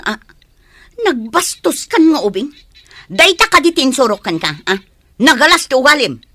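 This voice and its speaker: A woman's voice: high-pitched, sing-song, normal speed, bright, forceful tone, no room sound, studio quality, exaggerated voice. An actress playing a stern, exasperated mother of many children in a radio drama, firm and scolding.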